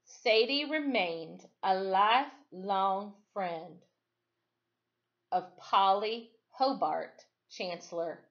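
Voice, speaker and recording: A woman reading a story aloud expressively, with dramatic emphasis and pauses, like a teacher at storytime. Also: mid-range voice, lo-fi sound. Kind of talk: expressive read-aloud storytelling with brief asides to listeners.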